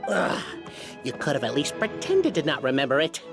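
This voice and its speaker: A woman's voice: rumbling low, wildly swooping pitch, normal speed, music in the background, heavily acted. A woman playing a brash, exasperated, hot-tempered youngster in a fantasy game, blustering and indignant. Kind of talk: video game voice acting.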